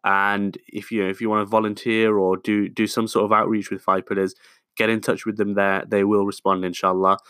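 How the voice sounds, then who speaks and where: A man hosting a podcast, delivering its opening introduction in an upbeat, smooth scripted manner. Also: fairly low pitch, flat delivery, normal speed, fairly bright tone, dry recording, studio quality. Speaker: podcast host.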